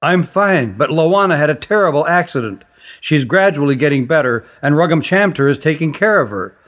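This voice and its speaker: A man voicing an earnest young man in a storybook, speaking warmly and eagerly. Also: on the high side, lively intonation, fairly quick. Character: young man woken from sleep, kind and earnest.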